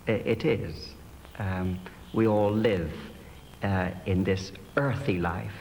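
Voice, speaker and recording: A man speaking reflectively as a clergyman interviewed, in a thoughtful, measured and hesitant manner. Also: low voice, a little mellow. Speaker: clergyman who plays pantomime dame. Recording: echoing hall, noisy old archive audio.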